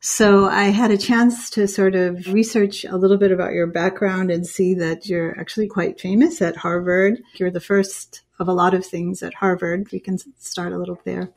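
Creaky-voiced woman podcast host. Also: lowish voice, flat delivery, normal speed, few pauses, darkish tone. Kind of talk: scripted intro and casual interviewing.

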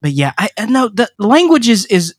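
A man from the American South with a Southern accent, chatting haltingly and self-deprecatingly as a podcast co-host. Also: sits high, big pitch swings, slightly rough, no room sound, studio quality. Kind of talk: casual chat.

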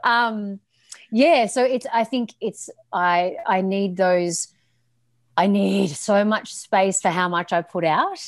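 A relaxed, chatty woman speaks as a podcast guest, laughing easily. Her voice is mid-pitched and recorded very dry, with no room sound. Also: takes it slowly, dry recording. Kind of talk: casual interview chat. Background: dog barking.

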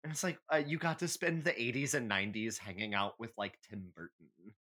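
A man's voice: on the low side, steady tone, fairly quick, sharp tone. A man co-hosting a casual comedy podcast, chatting in a catty, theatrical and emphatic way with plenty of swearing. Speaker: podcast co-host.

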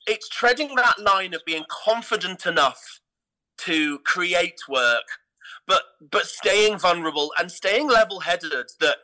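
A middle-aged English man with a very bright, forward voice that sits fairly high. He tells anecdotes as an interview guest, leaning on key words and repeating them for emphasis. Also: rough recording. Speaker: theatre company artistic director, interview guest. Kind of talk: animated anecdotal interview talk.

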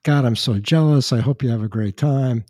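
An older man with a slightly raspy voice, chatting casually as a podcast host and telling rambling anecdotes with dry asides. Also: medium pitch, normal speed, warm tone, no room sound. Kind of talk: casual chat, storytelling.

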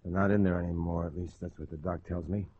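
A man's voice: low-pitched, fairly even intonation, fast talker, a little hiss or hum, natural voice. A man playing a cocky, wisecracking small-time gangster and ladies' man in a 1940s radio crime drama, with slangy tough-guy talk. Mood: weary, defiant. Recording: muffled old radio broadcast.

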